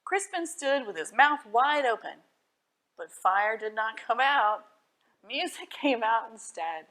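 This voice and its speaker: A woman reading children's stories and poems at a library storytime, expressive and putting questions to her young listeners. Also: fairly high pitch, slow pace.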